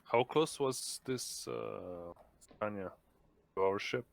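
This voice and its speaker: Slow, low-voiced man playing a tabletop game. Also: expressive, vocal fry. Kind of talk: casual in-character roleplay.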